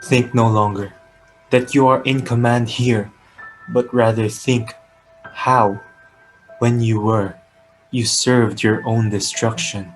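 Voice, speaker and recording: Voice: medium pitch, fairly even intonation, over music, close to an everyday voice, poor audio. An actor playing a composed, stern new ruler, speaking formally and firmly in a classical tragedy reading. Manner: formal, measured, commanding.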